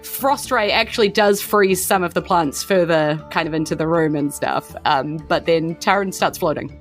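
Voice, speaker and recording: Low-pitched New Zealand woman narrating a game. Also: moderate pace, soft background music. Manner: informal, conversational storytelling. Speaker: game master. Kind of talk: improvised tabletop game narration.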